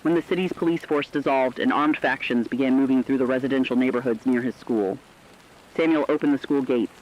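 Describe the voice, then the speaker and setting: A man's voice: medium pitch, a little fast, lo-fi sound, close to an everyday voice. A man playing an earnest radio correspondent, telling human stories in solemn, scripted narrative reporting. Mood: solemn, moved.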